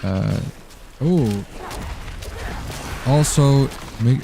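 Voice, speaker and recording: A man's voice: mid-range voice, lively intonation, very slow, creaky, noisy background. A man with a Dutch accent giving casual gameplay commentary as a streamer, rambling and sometimes searching for words. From Netherlands. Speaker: game streamer.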